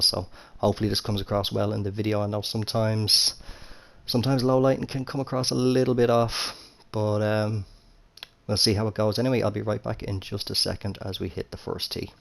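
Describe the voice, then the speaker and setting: Throaty low-voiced man commentating a game. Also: gravelly, a bit bright. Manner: easygoing, chatty, frequent fillers and restarts. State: slightly throaty from a cold. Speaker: gaming commentator. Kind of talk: casual gameplay commentary. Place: home room with TV and console. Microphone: gaming headset.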